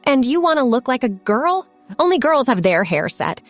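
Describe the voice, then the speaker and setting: A woman's voice: sits high, very animated, normal speed, soft background music, pitched above the performer's own voice. A woman voicing an insistent mother in an audiobook story, coaxing and firm as she presses her child. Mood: pressing, insistent.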